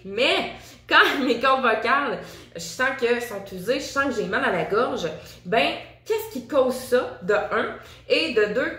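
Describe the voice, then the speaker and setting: A woman's voice: medium pitch, fairly bright tone, echoey room. A woman with a Quebec French accent, a singing teacher and vocal coach, giving a chatty, upbeat video lesson straight to the viewer. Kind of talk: casual video lesson to camera.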